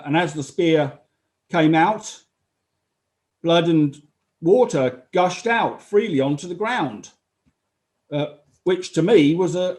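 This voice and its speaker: A man playing a sober, earnest Roman army officer giving halting, measured testimony in a dramatized inquest. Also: on the high side, a little mellow. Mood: factual, solemn. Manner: halting, measured, solemn witness delivery.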